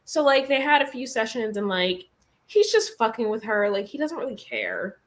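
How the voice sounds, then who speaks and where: A woman's voice: on the high side, very animated, moderate pace. A woman in a casual chat about books, speaking informally with slang and some swearing. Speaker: guest on a book reading livestream.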